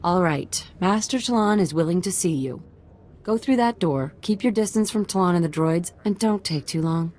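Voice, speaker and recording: An actress playing a guarded young assistant in a video game, with a casual but wary way of talking. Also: female voice, lowish voice, animated, normal speed, darkish tone. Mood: businesslike. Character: guarded young female assistant working off debt.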